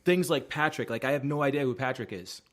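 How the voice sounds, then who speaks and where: A man in a casual podcast chat with an everyday mid-pitched voice. He talks in halting bursts, piling up "like" and restarts, and swears offhandedly. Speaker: podcast co-host.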